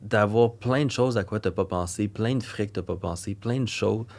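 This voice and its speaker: Young québécois guy, low voice, rambling on earnest about stuff on a podcast. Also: moderate pace, creaky, darkish tone. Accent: Québécois French. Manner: rambling, earnest, trails off mid-thought. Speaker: podcast co-host, coach. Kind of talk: casual chat.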